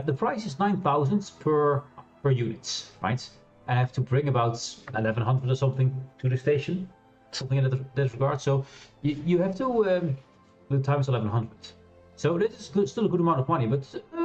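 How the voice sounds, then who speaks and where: A man with a loud voice streams a space game and talks to his viewers in a loose, rambling way, full of fillers and restarts. His pitch swings widely as he chats. Quiet game music plays faintly behind him. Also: dull audio. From Europe. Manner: chatty, rambling, laughs often, many fillers and restarts. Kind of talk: casual gameplay commentary.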